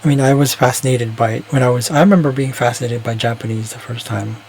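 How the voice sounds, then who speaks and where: Rambling man musing softly, faint hiss. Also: medium pitch, fairly even intonation, quick pace, creaky. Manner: rambling, hesitant, many restarts and fillers. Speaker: vlogger. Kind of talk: casual reflective monologue.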